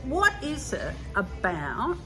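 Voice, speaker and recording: A woman's voice: a little high, huge pitch swings, slow, over music, rough recording. A woman interviewing an author in a casual chat, easily amused, her questions trailing off and restarting.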